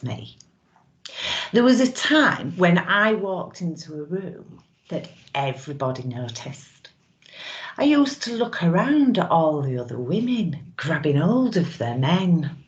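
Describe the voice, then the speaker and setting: A woman playing a brazen, wistful middle-aged widow in a theatrical monologue, knowing and suggestive with drawn-out pauses, on a lo-fi recording. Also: sits low, exaggerated voice.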